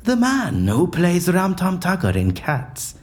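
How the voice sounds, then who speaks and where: An actor playing an eccentric, unflappable surgeon in an audio drama, with a formal, theatrical and dryly dismissive manner. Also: male voice, high voice, huge pitch swings, slow pace, heavily acted. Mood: stubborn, dismissive.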